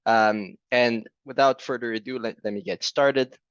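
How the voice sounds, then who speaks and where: A man's voice: mid-range voice, fairly even intonation, a bit bright, clean tone, no echo, dull audio. A man from Brazil with a Brazilian Portuguese accent, presenting a language program in a friendly way at a virtual fair and often adding "right?". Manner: friendly, fills pauses with "right?". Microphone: video call.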